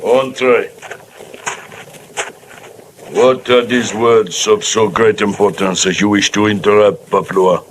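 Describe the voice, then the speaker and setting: An actor playing a menacing old voodoo priest in a 1950s radio drama, slow and ominous. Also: male voice, fairly low pitch, fairly even intonation. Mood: solemn. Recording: old radio broadcast with hiss.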